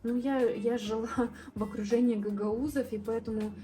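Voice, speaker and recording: A woman's voice: on the high side, steady tone, normal speed, mellow. A young woman from southern Moldova, chatting casually and rambling with many fillers and restarts while she paints for her vlog. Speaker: art vlogger.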